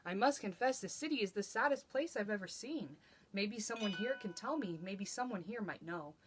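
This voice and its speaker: A woman reading a storybook, voicing an earnest, hopeful male court jester in expressive rhyme. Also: mid-range voice, normal speed, a little hiss or hum, natural voice. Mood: worried.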